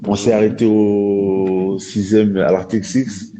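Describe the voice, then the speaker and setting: A man hosts a casual live discussion in a fairly low voice. His tone is dark and relaxed. He talks informally and tends to repeat himself. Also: measured pace, low-quality recording. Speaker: live stream host. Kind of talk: casual chat.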